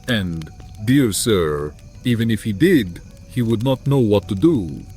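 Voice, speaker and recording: A man's voice: on the low side, expressive, measured pace, warm tone, faint music behind. A man playing a proud, menacing old foreign Count in a dramatized gothic audiobook, speaking in formal, archaic, theatrical phrases. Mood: scornful.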